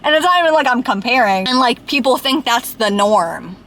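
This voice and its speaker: A woman's voice: fairly high pitch, expressive, brisk, a bit bright, slight background noise, poor audio. A woman in her late twenties giving a casual vlog to camera, rambling and filling her speech with "like". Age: late 20s. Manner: chatty, fills with "like", speeds up when venting. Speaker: vlogger.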